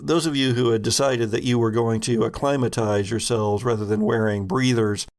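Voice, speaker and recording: A man running a tabletop game as game master, improvising narration in a deliberate, descriptive way. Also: medium pitch, fairly even intonation, a little mellow.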